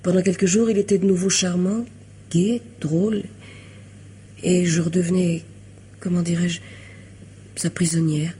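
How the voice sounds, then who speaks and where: An actress in a French radio mystery drama playing a weary, lovelorn young woman, wistful and hesitant, confessing in a hushed way. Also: lowish voice, little pitch movement, measured pace, pauses often, slight background noise, close to an everyday voice. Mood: wistful, bitter.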